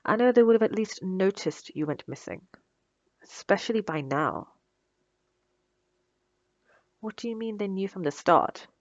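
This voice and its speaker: A woman's voice: medium pitch, animated, normal speed, dry recording, highs cut off, natural voice. A woman playing a smug, sarcastic female supervillain who taunts her captive with theatrical condescension in an ASMR roleplay. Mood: puzzled, probing. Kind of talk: ASMR roleplay audio drama.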